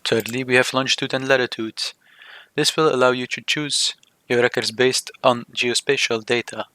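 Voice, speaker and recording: A man narrating a software tutorial step by step, speaking in short, measured, halting phrases. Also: mid-range voice, steady tone, no room sound. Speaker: software demonstrator. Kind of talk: scripted tutorial narration of a screen demo.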